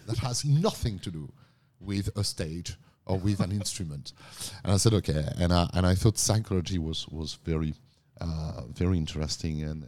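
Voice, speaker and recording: Deep-voiced French man in his fifties. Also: darkish tone, no echo. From France. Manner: repeats words, laughs easily. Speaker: guest. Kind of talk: casual interview talk.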